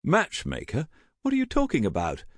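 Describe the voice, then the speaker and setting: An indignant, well-bred young gentleman, performed by a male narrator for an audiobook. His speech is formal and clipped, with animated pitch in a close, dry recording. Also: a little slow, dry recording. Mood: alarmed, affronted. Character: proud, indignant aristocratic young gentleman, courteous to his mother. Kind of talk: audiobook character acting.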